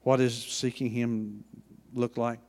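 A man with an Oklahoma accent preaching a sermon in a church, with a folksy manner, dropping low and quiet and then rising loud. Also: little pitch movement, slow pace, in a large hall. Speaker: pastor.

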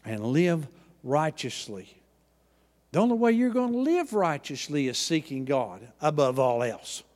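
Folksy Oklahoma preacher, soft then loud. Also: male voice, on the high side, big pitch swings, a little slow, in a large hall. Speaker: pastor. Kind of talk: sermon. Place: church.

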